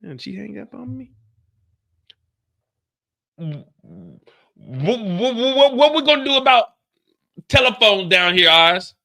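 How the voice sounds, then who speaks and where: A man's voice: high-pitched, lively intonation, creaky, pushed and bright, no echo, voice pushed up, exaggerated voice, rough recording. A man doing a stammering nervous young henchman. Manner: stammering, halting, repeats words. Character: nervous stuttering young male henchman on phone.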